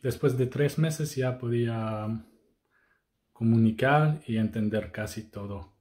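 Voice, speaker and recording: A 26-year-old man speaking Spanish slowly and hesitantly. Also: mid-range voice, a little mellow. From Switzerland. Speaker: interviewee.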